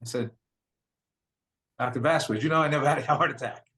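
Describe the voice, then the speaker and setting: An older man with a New York accent earnestly telling his own story, often quoting what others said to him. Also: a little fast. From Brooklyn, New York. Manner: earnest, retells dialogue in quotes, voice drops low and quiet at hard moments. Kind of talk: personal storytelling.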